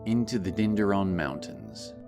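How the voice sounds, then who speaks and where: A man narrating a fantasy audiobook in a measured, solemn, dramatic storytelling style. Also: deep voice, lively intonation, creaky. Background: background music and sound effects.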